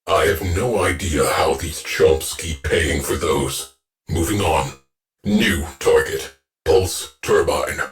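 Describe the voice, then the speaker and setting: A stern shipboard AI officer in a video game, all clipped commands and dry deadpan remarks. His voice sits very low and unhurried, with faint music underneath. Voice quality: clipped, deadpan military delivery. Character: stern male ship AI officer, terse, dry. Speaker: ship's AI officer.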